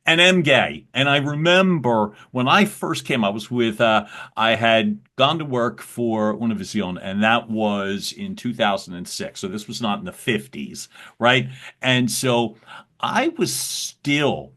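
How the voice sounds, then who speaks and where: A man hosting a podcast interview, with a loud voice and an affirming way of speaking. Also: medium pitch, a little slow. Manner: warm, loud voice, affirming listener. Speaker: podcast host. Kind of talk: casual interview.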